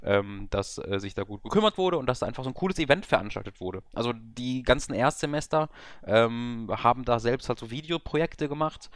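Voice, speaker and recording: A man's voice: medium pitch, lively intonation, a little fast, no echo, clean studio sound. A young man with a cold, chatting on a podcast from a remote voice call, rambling and self-deprecating with many filler sounds. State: has a cold. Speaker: podcast co-host. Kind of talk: casual podcast chat. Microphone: remote over voice chat.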